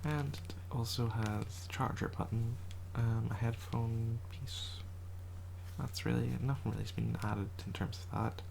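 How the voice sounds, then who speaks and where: Whispered ASMR talk, gravelly edge, flat even tone, faint background hiss, rambling. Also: medium pitch, measured pace. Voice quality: whispered, soft-spoken delivery. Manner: rambling, self-correcting, chatty. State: voice a little rough, getting over a cold. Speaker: ASMR creator. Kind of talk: soft-spoken ASMR show and tell. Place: quiet house, indoors.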